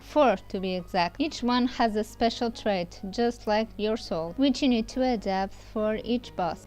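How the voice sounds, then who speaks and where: A woman's voice: on the high side, a little mellow. A woman reviewer giving a casual, scripted game voice-over, chatting informally and addressing viewers directly. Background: music.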